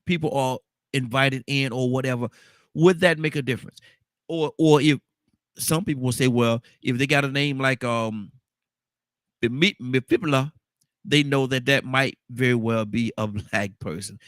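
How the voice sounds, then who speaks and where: A man's voice: medium pitch, normal speed, fairly bright tone, no echo, clean studio sound. Stuffy-nosed man hosting call-in radio. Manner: rambling, repeats himself, keeps calling out the phone number. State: stuffed-up nose from a cold.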